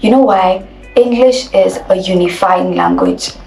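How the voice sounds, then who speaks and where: Nigerian lady teaching speech, slow careful emphatic, echoey room. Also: medium pitch, vocal fry, a little mellow, recorded from a distance, faint music behind. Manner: deliberate, emphatic, repeats key phrases. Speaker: elocution coach. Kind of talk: instructional video talk.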